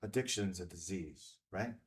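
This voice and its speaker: A man's voice: deep voice, normal speed, close to an everyday voice. A man acting a cynical, probing big-city reporter, terse and questioning, in a film script read. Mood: hesitant, probing.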